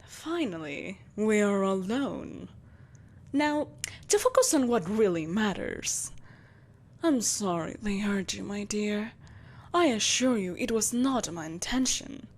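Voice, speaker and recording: A woman's voice: mid-range voice, animated, slow. A woman playing a malevolent, possessive empress in a dramatic audio roleplay, imperious and theatrical, with mocking sweetness. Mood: tender, possessive.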